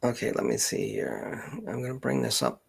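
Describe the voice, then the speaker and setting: Gravelly, low-voiced man. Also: wildly swooping pitch. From Canada. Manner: halting, thinking aloud. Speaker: genealogist hosting a live Q&A. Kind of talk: unscripted livestream chat.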